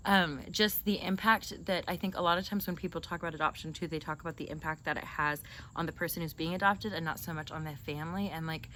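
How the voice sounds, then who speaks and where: A young woman with a fairly low voice chats as a casual host. She is animated and teasing, joking as she tells stories. There is clear noise behind her. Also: fast. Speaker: host interviewing a sibling. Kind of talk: casual chat and storytelling. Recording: noisy, with clear background noise.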